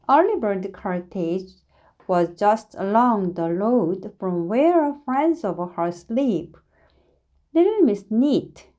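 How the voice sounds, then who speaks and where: A woman reads a storybook aloud to young listeners. Her voice is soft-edged and mellow, with very animated pitch. She takes her time, shaping each phrase deliberately and dramatically. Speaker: storybook reader. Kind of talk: expressive read-aloud of a children's story.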